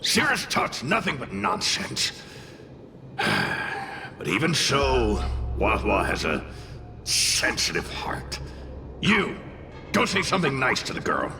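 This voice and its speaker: A man voicing a small hot-tempered fire spirit in an anime game, gruff, shouty and exasperated, over music. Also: mid-range voice, huge pitch swings, slow pace, pauses often, heavily acted. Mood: exasperated, blustering.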